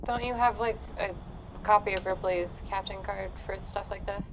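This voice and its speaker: A woman's voice: mid-range voice, steady tone, normal speed. A woman playing a tabletop game, chatting casually with the group. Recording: noticeable background noise.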